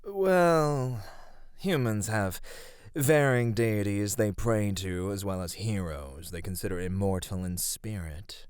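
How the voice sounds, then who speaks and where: A man playing a gentle, flirty immortal god of radiance in an ASMR roleplay, speaking softly and formally. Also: fairly low pitch, takes it slowly, clear, resonant tone, dry recording, clean studio sound. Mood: regal, tender. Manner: soft, formal, tender speech.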